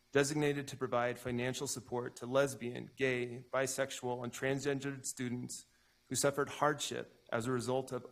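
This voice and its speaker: A man reading the part of a grieving brother giving a measured, solemn impact statement in court. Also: mid-range voice, vocal fry, natural voice. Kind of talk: staged reading of a prepared statement.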